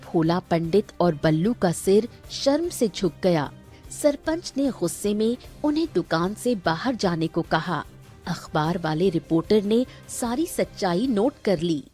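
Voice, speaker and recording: A woman narrating a scripted cartoon story in a dramatic, measured way. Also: lowish voice, lively intonation, mellow, soft background music.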